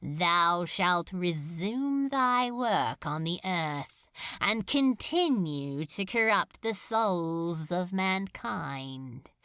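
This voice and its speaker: A woman's voice: gravelly, a bit bright, no echo, full character voice. A woman voicing a cruel male demon lord in a story reading, intoning archaic speech with grave, gloating menace. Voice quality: deep, grave, buzzing. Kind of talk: dramatic audiobook character voice.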